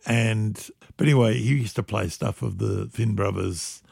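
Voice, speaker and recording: An older man telling stories about old gigs, animated and quick to laugh. His voice sits low and rough with heavy fry and a husky edge. The sound is dry and close, with no room around it. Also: no room sound. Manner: animated storyteller, laughs often. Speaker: musician guest. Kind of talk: reminiscing interview chat.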